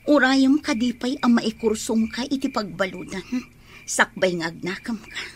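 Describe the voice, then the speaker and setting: Radio drama: troubled, long-suffering village wife played by an actress, Ilocano accent, highish pitch, slight ambient noise. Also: female voice, lively intonation, moderate pace, close to an everyday voice. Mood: pleading, worried.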